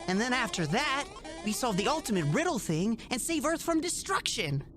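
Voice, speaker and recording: A woman's voice: fairly high pitch, sing-song, moderate pace, music in the background. A woman voicing an earnest, naive young boy hero in a cartoon fandub. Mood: curious, earnest.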